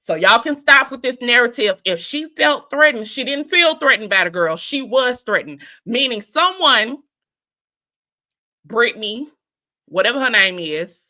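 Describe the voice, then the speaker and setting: A woman's voice: a little high, animated, measured pace, pushed and bright. A woman in her thirties giving casual, emphatic commentary straight to her viewers, repeating her points. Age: 30s. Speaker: reality TV reviewer.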